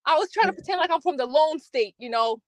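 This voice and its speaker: A woman's voice: high-pitched, expressive, quick pace, a loud crowd. A woman in a casual panel chat, playful and giggly, often restarting her sentences.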